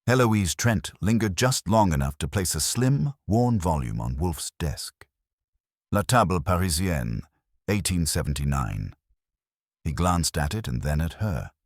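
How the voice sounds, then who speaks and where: Very deep male storyteller, dry and wry. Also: big pitch swings, measured pace, dry recording. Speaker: audiobook narrator. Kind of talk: scripted first-person detective story narration.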